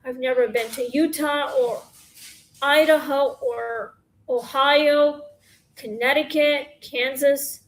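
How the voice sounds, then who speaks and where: A woman with a scratchy voice hosting a casual question-and-answer chat, halting and rambling with many fillers, talking into her phone. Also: high voice, fairly even intonation. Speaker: podcast host.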